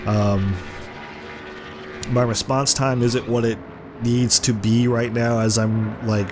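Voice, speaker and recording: Hoarse male gaming commentator with a congested voice, casual play-by-play, loud game music and engines behind him. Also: medium pitch, slow. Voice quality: rough, congested voice. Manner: excited play-by-play, rising sharply at crashes. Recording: game music and car noise underneath. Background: racing game engine noise and music.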